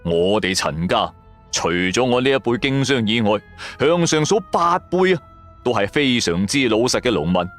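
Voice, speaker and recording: A man's voice: medium pitch, animated, moderate pace, a bit bright, soft background music. A man narrating an audio drama as a colloquial, suspenseful storyteller. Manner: colloquial, suspenseful, rhetorical questions.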